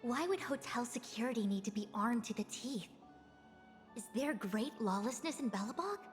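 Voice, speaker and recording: A woman's voice: on the high side, animated, moderate pace, in a large hall, faint music behind, lightly acted. A woman voicing a composed, erudite diviner in a video game, speaking formally and analytically. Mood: intrigued, musing.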